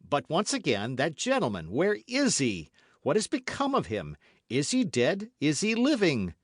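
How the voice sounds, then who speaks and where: A man reading aloud, voicing an angry young swordsman who demands answers in a raised, forceful voice. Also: mid-range voice, sing-song, normal speed, no room sound, clean studio sound, voice pushed up, close to an everyday voice. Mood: menacing.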